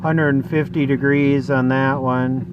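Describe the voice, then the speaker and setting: A man's voice: mid-range voice, flat delivery, measured pace, muffled recording, over music. A man narrating a radio repair at his workbench in a casual way, explaining technical details as he thinks aloud.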